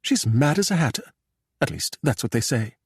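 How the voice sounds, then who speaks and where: A man's voice: mid-range voice, sing-song, moderate pace, breathy edge, dry recording, clean studio sound, voice pushed up, full character voice. A man voicing an arch, gossipy, well-preserved society woman for an audiobook.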